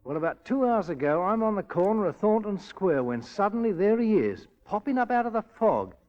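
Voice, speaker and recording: Eager high-voiced constable. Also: male voice, big pitch swings, a little fast, a little mellow. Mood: eager, puzzled. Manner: deferential, colorful reporting. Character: eager, puzzled working-class policeman reporting to superior. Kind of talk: 1940s radio drama acting. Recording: muffled vintage radio broadcast.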